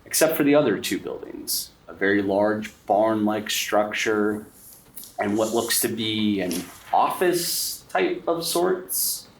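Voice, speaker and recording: A man with a very low voice runs a horror tabletop game as game master. He narrates slowly and dramatically, repeating phrases for suspense. The recording is roomy, a bit off the mic, with faint noise. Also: animated, distant mic.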